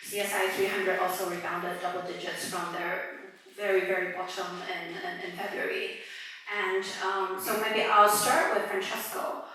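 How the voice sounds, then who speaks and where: A woman moderating a panel discussion, brisk and organized, with a few fillers. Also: mid-range voice, pushed and bright. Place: event hall. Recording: echoey hall, distant mic, faint noise.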